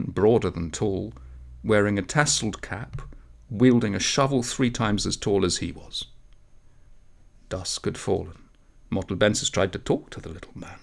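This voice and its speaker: Low, gravelly male storyteller. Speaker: audiobook story reader. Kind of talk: expressive literary storytelling narration.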